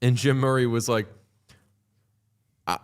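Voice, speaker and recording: A man's voice: medium pitch, fairly even intonation, a little slow, clean tone. A man in his late thirties, co-hosting a casual sports watchalong, telling rambling stories with a dry, wry humor and some swearing. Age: 30s. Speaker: podcast co-host.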